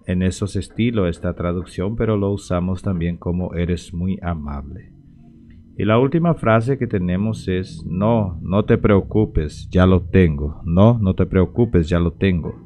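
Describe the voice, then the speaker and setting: A man from Paraguay teaching expressions in a casual spoken lesson, slowly repeating each phrase with care. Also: low-pitched, dark tone. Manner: slow, careful repetition of phrases. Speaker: language teacher.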